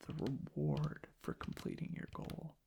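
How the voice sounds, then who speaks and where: A man softly rambling in an ASMR style, hushed and halting, drifting into whispers close to the mic. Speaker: ASMR video creator. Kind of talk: soft-spoken ASMR ramble. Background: tapping and clicking.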